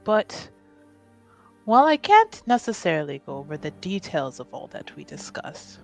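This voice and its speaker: A woman playing a composed, fondly teasing noblewoman in a tabletop game, speaking in a measured, dry way. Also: fairly low pitch, big pitch swings, gravelly, faint music behind. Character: composed, gently teasing noblewoman, fond elder confidante.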